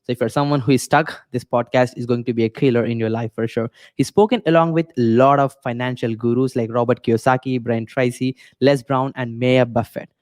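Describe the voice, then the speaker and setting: A man's voice: mid-range voice, fairly even intonation, fairly quick, few pauses, darkish tone. A man, hosting a podcast and hyping his guest, piling on words like amazing and crazy. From India. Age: 20s. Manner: hype-driven, repeats "amazing" and "crazy". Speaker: podcast host. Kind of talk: podcast interview.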